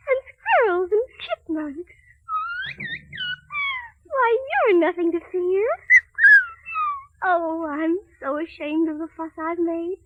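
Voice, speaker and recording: An actress voicing a sweet, innocent young princess with a soft, lilting, timid way of speaking, on a tinny old film soundtrack with orchestral score. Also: female voice, very high-pitched, heavy background noise, heavily acted.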